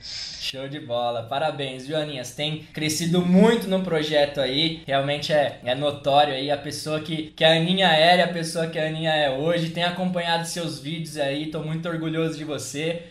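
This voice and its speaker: A man's voice: on the high side, steady tone, moderate pace, echoey room. A man hosting a casual interview, asking questions and encouraging his guest, with a repetitive manner full of fillers. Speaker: host.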